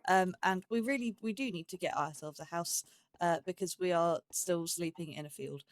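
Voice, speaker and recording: A woman's voice: fairly low pitch, moderate pace, no echo. A woman giving casual let's play commentary as the host of a gaming series, rambling and thinking aloud as she goes.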